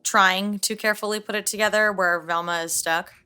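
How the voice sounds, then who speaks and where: A woman's voice: medium pitch, steady tone, brisk, bright, forceful tone, clean tone, no echo. A woman playing in a tabletop role-playing podcast, chatting casually. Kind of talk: casual game chat.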